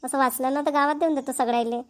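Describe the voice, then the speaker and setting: A woman's voice: high voice, fairly even intonation, fast, natural voice. A woman voicing a talkative, homely village housewife in a cartoon, with a rural Varhadi accent and a folksy, emphatic way of explaining things. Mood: chatty, explaining. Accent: rural Maharashtrian, Varhadi. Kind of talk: Marathi village family cartoon dialogue.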